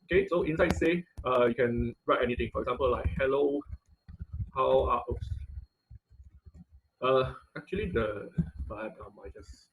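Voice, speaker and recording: A man teaching an online class in an informal, halting tutorial style, often checking in with listeners. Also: medium pitch, creaky, muffled recording, a little hiss or hum. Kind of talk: informal tutorial with live demonstration. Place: online video call class.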